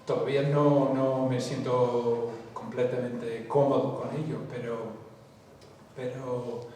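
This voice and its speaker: A man whose mother tongue is English speaks slowly in a public talk, pausing and restarting. His voice is distant and echoing, in a large hall with faint hiss. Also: medium pitch, level tone, mellow. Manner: slow and reflective, with restarts. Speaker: invited poet and translator. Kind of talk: unscripted answers in a public talk.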